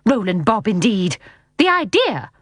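A woman voicing a prim, haughty storybook nanny with a sharp, indignant manner. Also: sits high, very animated, takes it slowly, dry recording, studio quality, voice pushed up, exaggerated voice. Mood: offended, indignant.

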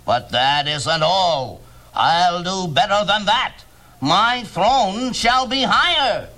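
A man's voice: high voice, lively intonation, slow pace, bright, forceful tone, soft background music, exaggerated voice. Yertle, a man playing a pompous turtle king. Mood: blustering commands. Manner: pompous, bellowing. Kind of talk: storybook reading.

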